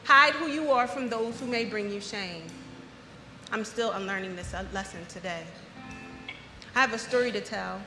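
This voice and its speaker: An American woman performing a dramatic spoken-word monologue, with emphatic, poetic phrasing and deliberate pauses. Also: medium pitch, pushed and bright, faint music behind. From United States (Philadelphia). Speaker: storyteller performing an autobiographical monologue. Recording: echoey hall with long reverb.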